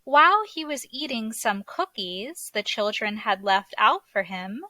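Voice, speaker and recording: A woman narrating a children's story aloud in an expressive, deliberate way, like a teacher reading to young listeners. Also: mid-range voice, dry recording.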